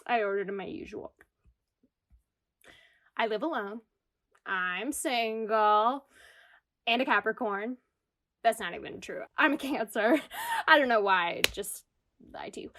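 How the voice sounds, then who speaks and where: A woman's voice: medium pitch, lively intonation, slow, long pauses, fairly bright tone. A woman acting an anxious, self-deprecating single New Yorker in a comedic audio drama monologue about herself. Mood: wry, rueful. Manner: self-deprecating, wry, confessional. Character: anxious single New York woman spiraling into existential crisis. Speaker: lead actor in radio comedy.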